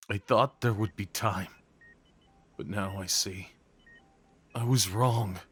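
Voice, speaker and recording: A man playing a devoted, desperate crime boss at his lover's bedside in an intimate audio roleplay, speaking in a halting, emotional way. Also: lowish voice, full character voice. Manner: slow, halting, emotional, pleading delivery.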